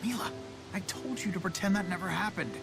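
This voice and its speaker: A man's voice: high voice, fairly quick, vocal fry. An actor playing a friendly, polite, earnest young man in a video game cutscene, casual and eager to please. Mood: embarrassed. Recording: music under the voice.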